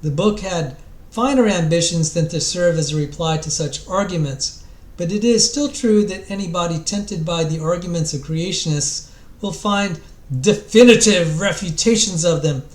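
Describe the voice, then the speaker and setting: A man's voice: sits high, moderate pace. A man giving a dramatic, theatrical reading aloud from a book, stressing his phrases emphatically. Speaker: reader of a book introduction. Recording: echoey, roomy sound.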